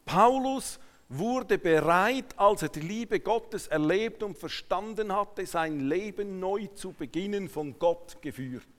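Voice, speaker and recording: High-voiced Swiss German preacher in a hall. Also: male voice, animated, slow pace. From Switzerland. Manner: emphatic, swinging between hushed asides and raised declarations. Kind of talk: sermon to a congregation. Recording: long hall reverberation.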